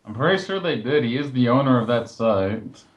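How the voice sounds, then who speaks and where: A man's voice: mid-range voice, animated, a little fast, dull audio, slight background noise. A man taking part in a casual group chat over a voice call.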